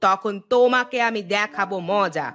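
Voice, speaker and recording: A woman voicing a sharp-tongued village wife in a cartoon, scolding and stern. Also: medium pitch, normal speed, soft background music, close to an everyday voice. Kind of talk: Bengali cartoon voice acting.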